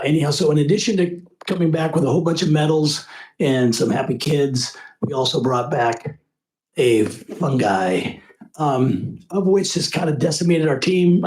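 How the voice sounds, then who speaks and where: A hoarse man with a cold, a school board trustee giving a rambling, folksy report at a board meeting. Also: medium pitch, a little mellow, rough recording. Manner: rambling, folksy, many uh fillers.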